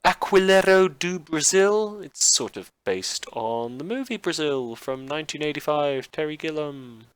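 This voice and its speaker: A man's voice: on the high side, expressive, unhurried, few pauses, smooth, dry recording. A man with a campy manner chatting informally and a little hesitantly to listeners as a podcast host. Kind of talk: informal outro chat to listeners.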